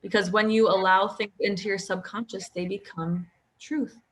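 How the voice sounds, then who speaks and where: A woman leading a guided sleep meditation in a slow, soothing voice that often drops close to a whisper. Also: medium pitch, steady tone, slight background noise.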